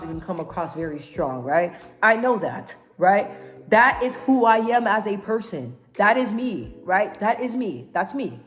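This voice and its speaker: A woman giving a casual motivational talk to camera, assertive and emphatic, repeating key phrases and often checking for agreement. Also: on the low side. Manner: emphatic, repeats phrases, constant tag questions seeking agreement; assertive, self-assured. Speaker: vlogger giving self-help advice.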